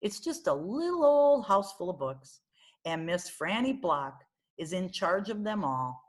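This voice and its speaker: A woman reading a children's novel aloud as storyteller, in an expressive narrating style. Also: lowish voice, a little slow.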